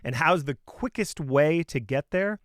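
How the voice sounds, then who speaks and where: A man's voice: fairly high pitch, expressive, measured pace, no echo, clean studio sound. A man coaching on sales in an informal, opinionated talk, speaking emphatically and conversationally with punchy rhetorical questions.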